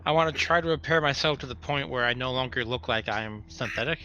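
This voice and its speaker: A man plays a tabletop roleplaying game, talking casually at the table. His voice is medium in pitch, with a sharp, bright tone. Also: fast talker, muffled recording.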